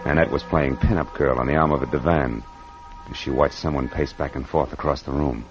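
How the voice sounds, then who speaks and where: An American actor playing a tough, wisecracking private detective in old radio drama, speaking in clipped, sardonic slang. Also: male voice, a bass voice, steady tone, fairly quick, music in the background. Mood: cool, narrating. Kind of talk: 1940s-50s radio drama acting, hard-boiled narration. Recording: dull noisy vintage radio recording.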